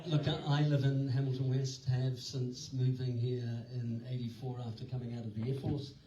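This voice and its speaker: An older New councillor speaks in a measured, flat, even delivery. The sound is dull and distant, bouncing around an echoing hall. Hiss runs underneath. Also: male voice, mid-range voice. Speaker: city councillor. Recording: echoey hall, distant muffled mic, hiss.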